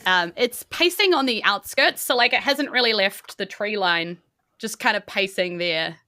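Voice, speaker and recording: A woman's voice: mid-range voice, expressive, moderate pace, pushed and bright, no echo, studio quality. A woman, narrating a tabletop game as its host in an informal, conversational way.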